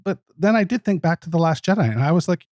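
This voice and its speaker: A man's voice: high voice, expressive, quick pace, darkish tone, dry recording, clean studio sound. A man appearing as a podcast guest, musing informally about a film. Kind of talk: casual film discussion.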